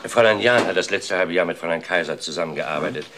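An actor playing a courteous, formal office boss who speaks emphatically and repeats himself, in a crime radio play. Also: male voice, sits low, fast, sharp tone, a little hiss or hum. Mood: formal, regretful. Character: courteous male office boss praising a former secretary.

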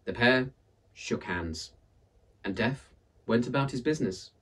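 A man in his thirties with a husky voice, reading and presenting a book as an expressive storyteller. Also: mid-range voice, a little slow, distant mic. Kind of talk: expressive read-aloud storytelling and book introduction. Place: indoors in front of a purple backdrop.

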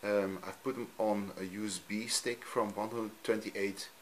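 Belgian man, native Dutch, Dutch accent, lowish voice, halting home demo voice-over, faint room hum. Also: fairly even intonation. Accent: Dutch-speaking Belgian. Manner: halting, frequent ums, simple non-native phrasing. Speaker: hobbyist youtuber. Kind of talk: off-camera screen demo. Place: room at home, filming a TV screen.

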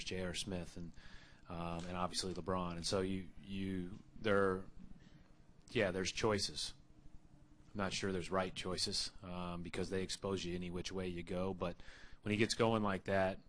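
A young man speaking as head coach at a postgame press conference into a table mic, measured and full of fillers and restarts. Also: deep voice, level tone, fairly bright tone, slight background noise. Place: arena press room.

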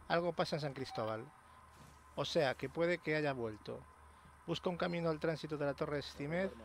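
Casual gamer, joking commentary. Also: male voice, on the high side, slight background noise. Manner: relaxed, joking, reads screens aloud. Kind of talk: casual let's play commentary.

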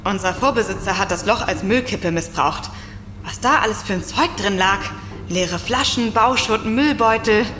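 A woman acts out a playfully forward young woman in a spooky radio play. She is coy and confident, with a medium pitch and faint noise behind her. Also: normal speed, a bit bright, lightly acted. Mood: confident, chatty. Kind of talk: German audio drama acting.

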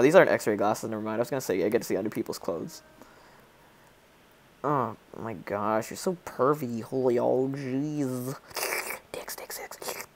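A teenage boy from Poland with a Polish accent, joking and rambling as he plays a game and comments. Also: mid-range voice. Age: teens. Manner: joking, rambling, reads game lines in put-on voices. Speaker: gaming YouTuber. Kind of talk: casual let's play commentary.

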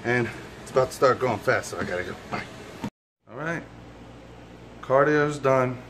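A man's voice: medium pitch, lively intonation, normal speed. A man in his thirties, tired from exercise, talking casually and plainly to camera in a workout vlog. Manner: plain-spoken, colloquial, mild swearing. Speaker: fitness vlogger. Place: rooms at home and a home gym. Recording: noisy handheld camera audio with ambience.

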